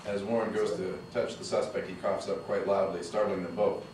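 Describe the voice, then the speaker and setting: A man reading the stage directions aloud at a screenplay table read, brisk and matter-of-fact. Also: deep voice, little pitch movement, a little mellow. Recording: echoey room, distant mic, background noise.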